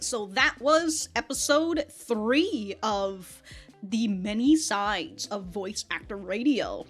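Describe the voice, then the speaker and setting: A woman giving casual reaction commentary as a host, with slangy, giggly, animated asides. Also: on the high side.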